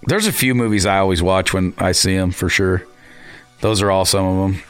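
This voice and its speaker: A man in his early forties co-hosting a podcast in a relaxed chat. His voice sits a little low and he teases his partner dryly. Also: fairly quick, smooth, soft background music. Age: 40s. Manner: laid-back, dry teasing. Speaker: podcast co-host. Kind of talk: casual chat.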